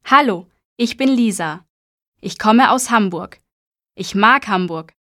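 Casting: an upbeat sporty girl, slow and dry. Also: mid-range voice, animated, a bit bright, no room sound. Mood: cheerful. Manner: slow, clear self-introduction. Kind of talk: scripted textbook audio.

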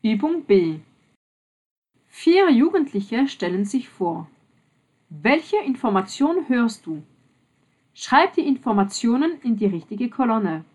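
Instruction reader: woman, slow and expressive. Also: fairly high pitch. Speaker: exercise narrator. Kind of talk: scripted instructions.

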